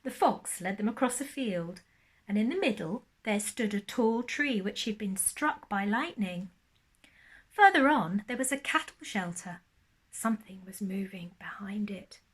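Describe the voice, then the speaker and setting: A woman with a medium-pitched voice reads a bedtime story for young children. She narrates expressively as a primary teacher would, lingering on key words. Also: expressive, a little slow, breathy edge.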